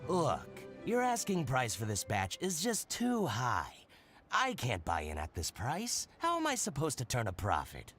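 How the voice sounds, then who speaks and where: A man's voice: mid-range voice, wildly swooping pitch, unhurried, a bit bright, exaggerated voice. A man playing a shrewd, possessive boutique owner in a video game, talking in a theatrical, haggling way. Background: game music.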